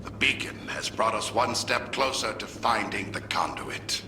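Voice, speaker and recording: An actor playing a coldly triumphant alien villain in a video game, menacing and self-assured. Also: male voice, on the low side, a little slow, background noise, close to an everyday voice. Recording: loud music over audio playback. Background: loud music.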